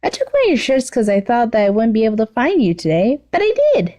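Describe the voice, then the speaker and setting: A woman voicing a giggly, clingy, bloodthirsty teenage villain girl in an excitable, gushing way for an anime fan story. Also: on the high side, quick pace, pitched above the performer's own voice. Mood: eager.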